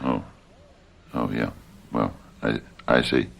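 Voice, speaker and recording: A man's voice: rumbling low, slow pace, gravelly, fairly bright tone, exaggerated voice. An actor playing an anxious, down-on-his-luck nephew and father in a radio mystery drama, hesitant and earnest. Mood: hesitant, protesting.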